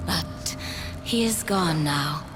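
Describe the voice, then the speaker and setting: Seductive demon queen, low female voice, slow, silky, scheming, coaxing, theatrical video game villainess. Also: over music. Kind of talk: dramatic video game acting.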